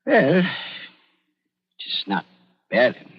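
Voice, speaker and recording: An actor playing a scheming older German doctor who stammers and dodges, with a German accent, in a 1940s radio drama. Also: male voice, medium pitch, wildly swooping pitch, extremely slow, breathy edge, heavily acted. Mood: sly. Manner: stammering, evasive, panics. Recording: dull 1940s radio broadcast.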